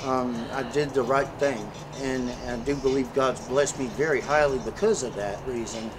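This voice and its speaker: A man's voice: medium pitch, steady tone, moderate pace, noisy background. A man in a casual street interview who tells his story in an earnest, preaching way, filling his talk with "you know.".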